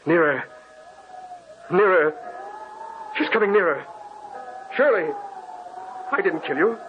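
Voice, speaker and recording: An actor playing a haunted, sleepless man losing his mind, speaking with feverish, halting repetition in old-time radio horror style. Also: high-pitched, lively intonation, soft background music. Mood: frantic terror. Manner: intense, halting, repeats words feverishly. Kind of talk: 1940s radio horror acting. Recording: muffled old radio recording.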